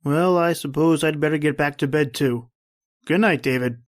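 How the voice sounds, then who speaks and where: Radio drama executor male actor: stiffly formal, deliberate, dutiful gentleman, very little room sound. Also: fairly high pitch, no echo, clean studio sound, lightly acted. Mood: suspicious, polite. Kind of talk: old-time radio drama acting.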